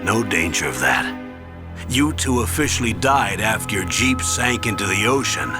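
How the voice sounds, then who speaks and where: A grave, lowish-voiced older commander. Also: male voice, very animated. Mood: warm reassurance. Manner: measured, earnest. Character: older male military commander, grave, paternal, remorseful. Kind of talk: dramatic video game acting. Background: music.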